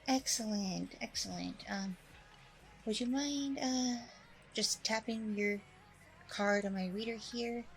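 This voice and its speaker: A woman's voice: medium pitch, slow, gravelly, rough recording. A woman playing a smug, self-promoting training center owner in a chatty ASMR roleplay, with breezy, self-satisfied customer-service politeness. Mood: breezy, polite. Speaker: roleplay audio performer.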